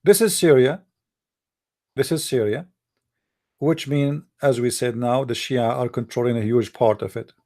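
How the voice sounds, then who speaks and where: A man whose first language is Arabic, giving a heated casual monologue, often pausing and searching for words. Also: mid-range voice, a little mellow. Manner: halting, searching for words, many fillers; heated, blunt opinions. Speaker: commentator.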